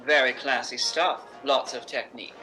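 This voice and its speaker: Man playing middle-aged theatre diva: forceful bright voice, imperious, wry, emphatic radio-play delivery, faint music behind. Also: mid-range voice, fairly even intonation, moderate pace, close to an everyday voice, rough recording. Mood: sardonic, giddy. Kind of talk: recreated 1950s radio drama acting.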